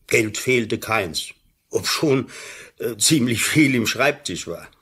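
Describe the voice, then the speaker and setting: Raspy, animated, worried old doctor. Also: male voice, mid-range voice, takes it slowly, full character voice. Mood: flustered. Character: worried old male doctor, loyal, flustered school friend. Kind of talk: German-language radio drama acting.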